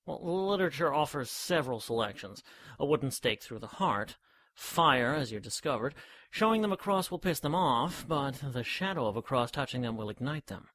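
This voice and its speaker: An actor playing a bookish, rational gentleman scientist in an audio drama, speaking in a formal, precise, pedantic manner. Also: mid-range voice, lively intonation, normal speed, no echo. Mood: matter-of-fact. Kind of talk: scripted audio drama dialogue.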